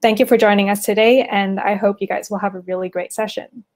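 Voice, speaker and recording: Female event host, medium pitch, reading a prepared welcome and housekeeping notes. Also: fairly even intonation, fairly quick. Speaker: festival team member introducing an online event. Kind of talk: scripted event introduction.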